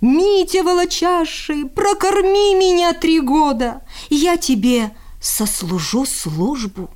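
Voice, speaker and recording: A woman voicing a proud, stern magical eagle from a folk tale, in a solemn, drawn-out way. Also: high-pitched, animated, a little mellow, pitched above the performer's own voice. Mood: pleading.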